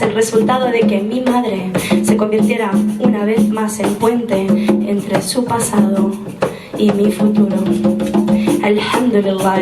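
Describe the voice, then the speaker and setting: Young woman poet, slightly high voice, measured rhythmic recitation, distant mic in a roomy live space over loud music. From Spain, of Moroccan family. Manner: slow, rhythmic, deliberate recitation. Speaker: spoken word poet. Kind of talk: spoken word poetry performance. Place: live poetry event on a stage. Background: loud instrumental music.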